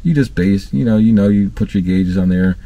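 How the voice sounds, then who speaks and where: A man in his thirties talks through work tips from the cab of his parked van. His voice sits very low and mellow, and he keeps it loose and casual, stopping for ums and restarting his sentences as he goes. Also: a little fast, dry recording, lo-fi sound. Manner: relaxed, conversational, many fillers and restarts. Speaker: HVAC technician and channel host. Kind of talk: casual training talk to camera.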